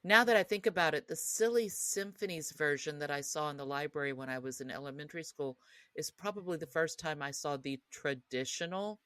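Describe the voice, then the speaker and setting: A woman's voice: low voice, a little fast, fairly bright tone, no echo. A woman co-hosting a podcast, talking in a casual and reflective discussion style. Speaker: podcast co-host.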